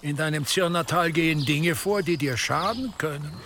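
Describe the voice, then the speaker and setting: An actor playing an imperious, slyly menacing church dignitary in a medieval radio drama, speaking deliberately and with condescending insinuation. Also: male voice, fairly high pitch, heavy background noise, lightly acted. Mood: quietly threatening. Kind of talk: German radio drama acting in a medieval setting.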